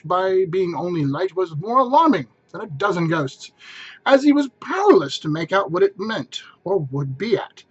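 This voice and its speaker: A man narrates a story in a dramatic, emphatic manner. His voice sits high for a man and has a husky grain. The recording is a little dull. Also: fairly bright tone. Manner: theatrical, emphatic phrasing. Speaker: narrator reading aloud.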